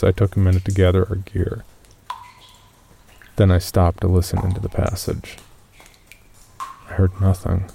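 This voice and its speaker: Low, creaky, monotone male narrator. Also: slight background noise. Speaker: narrator of a horror story. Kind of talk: scripted first-person horror narration.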